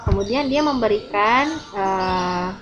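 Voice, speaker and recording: A woman's voice: mid-range voice, animated, slow, dull audio, crowd noise. A woman with an Indonesian accent, a native Indonesian speaker, teaches English informally in an online lesson, explaining examples and translating as she goes. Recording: clearly noisy, with birdsong. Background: birds chirping, ambient noise.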